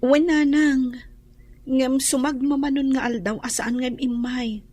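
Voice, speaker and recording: A woman's voice: high-pitched, steady tone, measured pace, a little mellow, dry recording. A woman with a Filipino accent playing a young student, a dutiful daughter, in an Ilocano radio drama. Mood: defensive, worried.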